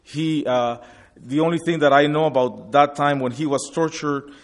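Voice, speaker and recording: A man in his forties, from Guatemala, giving a personal testimony at a church event, stopping and restarting often. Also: a little high. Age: 40s. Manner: halting, with many restarts and fillers.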